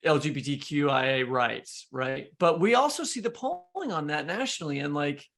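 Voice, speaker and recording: A man's voice: a little high, animated, moderate pace, a bit bright. A man hosting a podcast, talking politics casually and thinking aloud in an informal, hesitant way. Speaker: podcast host.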